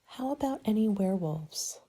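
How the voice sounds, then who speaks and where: A woman speaking softly in an ASMR roleplay as a party planning agent, polite and measured, sometimes slipping toward a whisper. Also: mid-range voice, animated, breathy edge. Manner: polite, measured, businesslike consultant patter. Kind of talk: soft-spoken ASMR roleplay, partly whispered.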